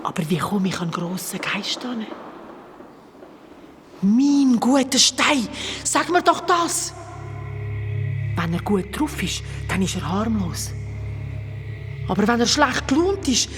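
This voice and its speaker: Slow hushed swiss german woman doing a stone-whisperer, kinda high, music under. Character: secretive woman pleading with a magic stone. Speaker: voice actor. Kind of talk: children's radio play acting.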